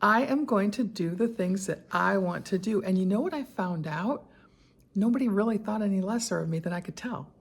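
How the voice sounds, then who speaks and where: A woman, talking candidly in a personal vlog, chatty and quick to laugh, with many asides and fillers. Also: mid-range voice, vocal fry, husky. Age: 60s. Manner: conversational with frequent fillers and asides. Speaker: lifestyle vlogger.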